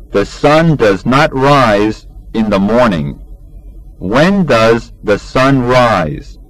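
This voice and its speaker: A man reads a language lesson aloud at a very slow pace, spacing out each phrase with care. His pitch sits in a middle male range. The sound is close and dry, with the deliberate delivery of a teacher going through textbook drills. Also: no room sound, rough recording. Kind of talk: scripted lesson reading.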